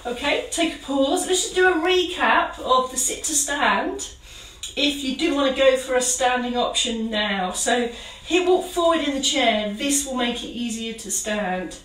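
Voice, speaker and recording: Calm woman leading exercises. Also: sits high, a little slow, recorded from a distance. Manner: calm, encouraging step-by-step cues. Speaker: exercise instructor. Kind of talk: guided exercise class. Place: kitchen. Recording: roomy sound from away from the mic.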